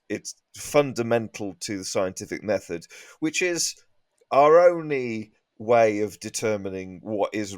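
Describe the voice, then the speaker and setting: Male comedian guest, low pitch, earnest and emphatic opinion-giving, tight echo-free recording. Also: studio quality. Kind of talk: comic opinion in panel chat.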